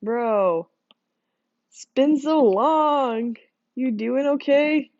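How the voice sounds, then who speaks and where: A young woman with a hoarse, worn-out voice chatting casually with her stream viewers, laughing often and rambling with lots of fillers. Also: high-pitched, extremely slow, darkish tone, dry recording. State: hoarse, losing voice from screaming. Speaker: livestreamer drawing art. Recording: dull lo-fi dry mic sound.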